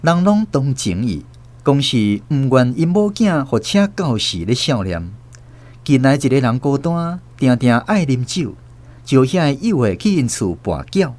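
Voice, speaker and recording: A man with a Taiwanese accent narrating a scripted radio drama story in an expressive storyteller's delivery. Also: mid-range voice, a little slow. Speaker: host and narrator.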